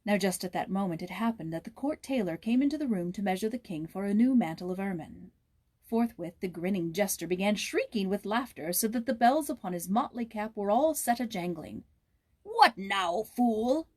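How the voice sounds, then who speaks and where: Woman, mid-range pitch, storybook narration, expressive, measured read-aloud. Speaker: narrator reading a children's book aloud.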